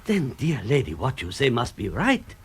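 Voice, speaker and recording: A police inspector in a radio drama. His voice is very husky and rough, with lively swings of pitch. He speaks formally and deliberately, questioning as he goes. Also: exaggerated voice. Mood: puzzled, curious. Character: deliberate, puzzled male police inspector in Egypt.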